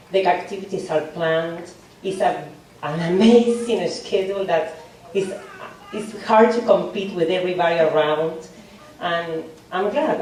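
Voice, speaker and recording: Woman from argentina, spanish native, lowish voice, talking to a gathering in a really echoey room. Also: a little mellow. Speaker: parent giving a testimonial. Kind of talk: informal personal speech. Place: large echoey hall. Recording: very echoey hall, distant, faint noise.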